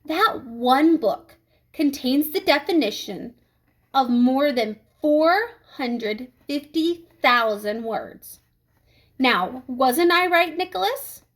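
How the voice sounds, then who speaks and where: A woman reading a children's storybook as a strict, controlled female schoolteacher, speaking in a measured, polite, authoritative way. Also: high-pitched, lively intonation, fairly bright tone, exaggerated voice. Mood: pointed praise. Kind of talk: children's storybook read-aloud.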